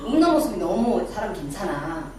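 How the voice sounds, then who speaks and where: A woman's voice: mid-range voice, sing-song, fairly quick. Middle-aged woman, Daegu accent, chatty lecturer who quizzes the class, caught from afar in a very echoey room. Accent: Daegu Gyeongsang dialect. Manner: chatty, quizzes the audience, self-deprecating asides. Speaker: image-making lecturer. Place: lecture room with a small audience. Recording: very echoey room, distant mic, background noise.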